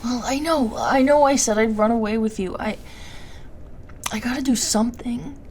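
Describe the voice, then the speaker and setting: An actress plays a distressed young woman in an intimate audio drama. Her voice sits fairly high and comes in halting, stammered bursts, apologetic yet stubborn. There is slight noise behind her. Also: a little mellow, natural voice. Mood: anxious, determined. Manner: halting, stammering, breathy asides to a partner. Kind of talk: intimate audio roleplay acting.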